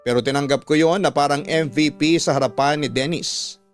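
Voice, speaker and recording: Male narrator, heartfelt, first-person drama storytelling, moderately high voice, faint music bed. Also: steady tone, normal speed.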